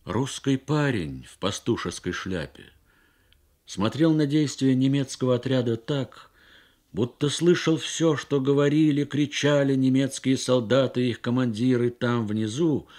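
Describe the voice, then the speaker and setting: A man with a fairly low voice narrates literary prose in the style of a Soviet radio reading. His phrasing is deliberate and measured, broken by pauses, with a dramatic storyteller's delivery. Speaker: reader of a short story on radio.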